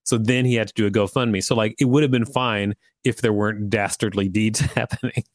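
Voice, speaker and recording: A man's voice: lowish voice, fairly even intonation, brisk, dry recording, clean studio sound. A man co-hosting a podcast, reading articles aloud and commenting casually. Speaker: podcast co-host.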